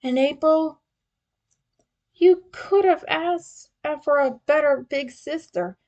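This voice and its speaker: Woman voicing Leo, a high-pitched, wistful young turtle. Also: takes it slowly, a little mellow, muffled recording. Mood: tender, strained. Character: Leo, wounded young male turtle, teasing and sentimental.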